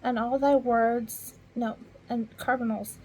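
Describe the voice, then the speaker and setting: A woman reading scripture aloud in an informal way, halting at hard words and talking softly to her viewers between verses. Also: fairly high pitch, steady tone, rough recording. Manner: slow, halting, stumbles over archaic words. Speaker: Bible reader.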